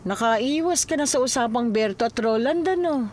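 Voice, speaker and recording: Woman acting inquisitive elderly mother, very lively pitch. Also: moderate pace. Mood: prying, teasing. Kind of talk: Filipino radio drama acting.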